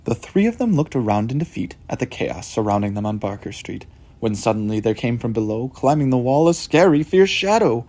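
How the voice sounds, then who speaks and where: A man reading his own rhyming children's book aloud, expressive and animated. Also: mid-range voice, brisk. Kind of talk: rhyming storybook read-aloud.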